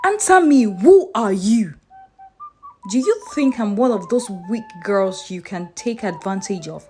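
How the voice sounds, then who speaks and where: A woman's voice: medium pitch, expressive, measured pace, warm tone, a little hiss or hum, natural voice. A woman voicing a bold, defiant young village maiden from a folktale, emphatic and challenging.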